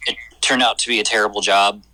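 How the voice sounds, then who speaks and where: A young man from Michigan calling in to a podcast, talking casually and candidly with halting restarts. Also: fairly low pitch, steady tone, bright, forceful tone, poor audio. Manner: candid, self-deprecating, halting. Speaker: caller. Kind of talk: casual phone chat.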